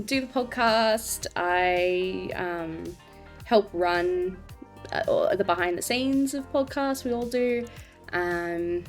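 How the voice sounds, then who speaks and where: A woman with an Australian accent, a podcast co-host chatting casually, giggling, self-deprecating and swearing freely. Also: medium pitch. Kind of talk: casual interview chat.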